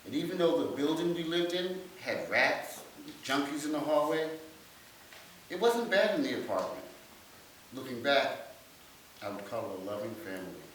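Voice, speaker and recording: A man's voice: on the high side, big pitch swings, normal speed, fairly bright tone. A man giving a rehearsed dramatic reading of his life story as a stage monologue. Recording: very echoey room, distant mic, faint hiss.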